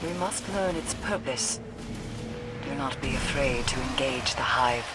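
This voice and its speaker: An actress playing a haunted, cryptic woman mystic in a video game, speaking gravely and with portent. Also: very deep voice, expressive, a little slow, over loud music. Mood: resolute, solemn. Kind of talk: dramatic video game cutscene acting.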